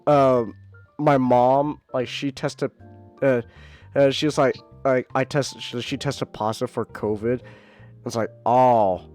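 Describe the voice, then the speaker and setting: A man hosting a casual podcast, stuffed up and sick, rambling with stumbling restarts and casual swearing. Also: mid-range voice. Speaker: podcast host.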